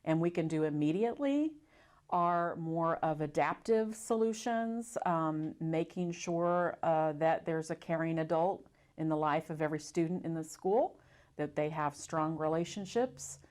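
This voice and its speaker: A woman's voice: sits low, measured pace, darkish tone. A woman speaking as an official spokesperson, explaining things in an interview answer. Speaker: school district spokesperson.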